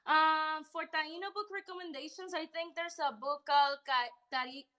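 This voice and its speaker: A woman's voice: sits high, steady tone, normal speed, sharp tone. A woman from Puerto Rico, a professor, chatting on a live stream in a bubbly, teasing way. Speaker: professor, podcast guest. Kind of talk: casual live-stream chat.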